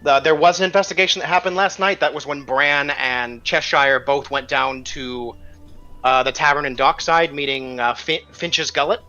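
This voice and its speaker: A man with a bright, forward voice, pitched a little high, narrates a tabletop game as its game master. He talks casually and conversationally. The audio is band-limited, with music underneath. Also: fairly even intonation, normal speed, highs cut off.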